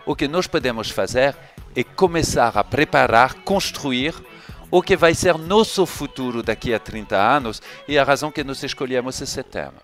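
A man from France with a French accent, a guest explaining his views in an interview in an unhurried way. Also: mid-range voice, a bit bright. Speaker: guest, event curator. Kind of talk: podcast interview.

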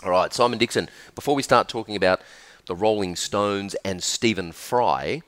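An Australian man hosting a podcast interview from an office reception desk, chatty and energetic. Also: on the low side, moderate pace. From South Australia. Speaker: podcast host.

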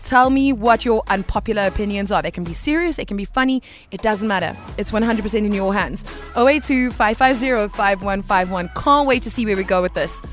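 A woman's voice: mid-range voice, fairly quick, faint music behind. A woman hosting a radio call-in show, chatty and affectionate with callers. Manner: warm, chatty, calls listeners baby. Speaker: radio host.

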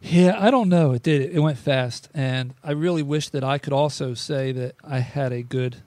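A man hosting a sports podcast in relaxed, rambling chat. His voice is mellow and dark, recorded close and dry with no room sound. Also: mid-range voice, normal speed, no echo. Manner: loose, rambling with fillers. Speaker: podcast host. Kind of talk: casual chat.

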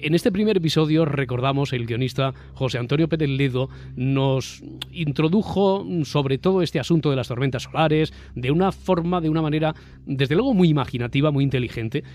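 A man's voice: on the high side, a little mellow. A man with a Castilian Spanish accent hosting a radio-style panel talk, putting questions to guests. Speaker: host, journalist moderating a panel.

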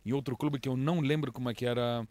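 A Brazilian man, an international correspondent, who speaks with a mixed accent and tells stories with lively swings in pitch. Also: fast, no room sound. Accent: mixed Brazilian Portuguese. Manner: animated storyteller, lively pitch jumps. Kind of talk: casual interview chat.

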